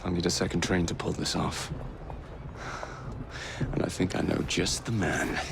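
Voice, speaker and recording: An actor playing a cocky young Victorian gang leader in a game cutscene, wry and cheeky in manner. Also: male voice, low voice, steady tone, normal speed, gravelly, heavy background noise.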